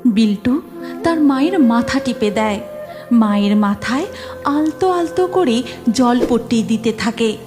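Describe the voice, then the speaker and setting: A woman's voice: on the high side, lively intonation, unhurried, music in the background. A woman narrating a scripted cartoon story as storyteller.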